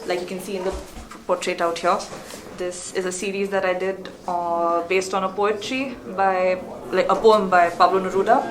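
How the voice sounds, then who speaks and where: A woman's voice: fairly low pitch, steady tone, normal speed. Indian-accented young woman in an echoey room. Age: 20s. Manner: fluent and articulate. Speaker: photography student. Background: crowd chatter.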